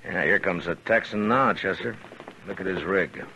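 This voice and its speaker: An actor playing a calm, wry American frontier marshal, unhurried and authoritative, in a 1950s radio western. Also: male voice, sits low, vocal fry. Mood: dry, watchful. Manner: unhurried, dry, easygoing. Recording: dull, noisy old radio recording.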